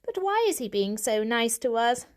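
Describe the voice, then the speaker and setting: A woman's voice: a little high, very animated, normal speed, smooth. A woman voicing an elderly male cat, a worried family patriarch who speaks with grave, pleading insistence in an audiobook. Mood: suspicious, insistent.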